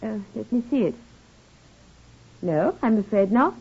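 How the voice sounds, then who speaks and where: A woman's voice: medium pitch, lively intonation, a little slow, slightly rough. An actress playing a genteel, guarded woman who manages a farm, speaking carefully and insistently in an old radio drama. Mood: guarded, uneasy. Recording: muffled, band-limited vintage radio recording.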